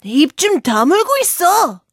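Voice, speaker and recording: A woman voicing a brusque, impatient Gryphon in a storybook, with a sharp, commanding manner. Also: a little high, racing, dry recording, clean studio sound, lightly acted. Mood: scolding. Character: brusque, scolding Gryphon, bossy mythical beast. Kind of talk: storybook character voice.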